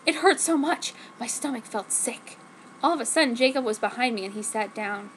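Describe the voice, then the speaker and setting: A woman's voice: fairly high pitch, expressive, fairly quick, noisy background. A woman reading fanfiction aloud as a theatrical, mock-dramatic narration. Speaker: fanfiction reader.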